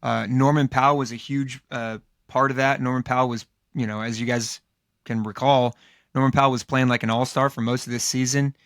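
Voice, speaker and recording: A hoarse man co-hosting a casual sports podcast, talking in a loose, rambling way with lots of fillers and restarts. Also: mid-range voice, level tone, brisk, fairly bright tone, no echo, studio quality. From Los Angeles area, United States. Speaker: podcast co-host.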